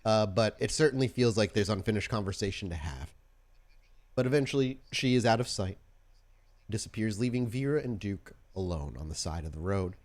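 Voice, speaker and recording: A man's voice: lowish voice, lively intonation, brisk, darkish tone. A man narrating an improvised tabletop roleplaying scene as game master.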